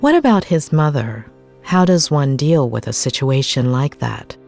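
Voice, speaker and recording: An actress playing a composed, weary therapist, probing and guarded, in a naturalistic video game performance. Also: female voice, rumbling low, animated, unhurried, creaky, a little mellow, faint music behind, heavily acted. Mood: pensive.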